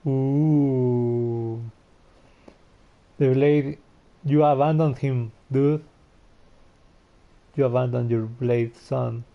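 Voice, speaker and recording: A man's voice: mid-range voice, steady tone, drawn-out words, gravelly, pauses often, dull audio. A man giving casual, slangy, teasing commentary as he streams a video game.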